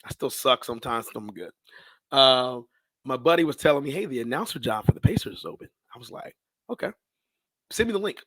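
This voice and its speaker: An American man in his thirties tells stories as a podcast guest. His pitch sits in the middle and the sound is dry, with no room on it. He talks casually and in slang, voicing his own past thoughts and quick exchanges as he goes. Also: expressive, fairly bright tone, no echo. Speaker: podcast guest, sports public address announcer. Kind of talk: casual storytelling in an interview.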